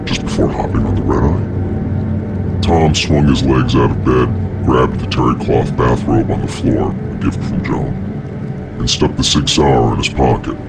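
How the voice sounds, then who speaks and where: Very deep, gravelly male horror narrator. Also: measured pace. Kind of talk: scripted horror fiction narration. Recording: dark ambient music bed behind voice.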